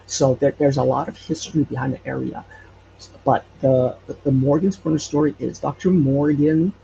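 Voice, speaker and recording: A man from Hawaii telling stories casually as an interview guest, halting and searching for words as he goes. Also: mid-range voice, creaky, mellow. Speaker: podcast guest telling local legends. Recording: dull lo-fi audio, faint noise.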